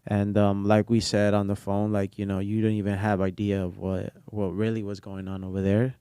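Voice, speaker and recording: A man's voice: lowish voice, moderate pace, clean tone, dry recording. A young man, a barber whose first language is Portuguese, talking casually and rambling with lots of fillers in an interview. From Brazil. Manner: relaxed, rambling with many fillers. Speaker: barber guest.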